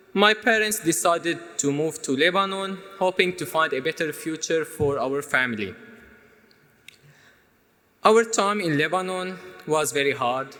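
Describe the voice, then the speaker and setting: A young man in his twenties, originally from Syria with Arabic as his first language, gives a prepared speech in an earnest, measured way. His voice is bright and fairly high for a man. The sound carries the long reverb of a big hall. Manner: earnest, measured delivery with small restarts. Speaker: student speaker.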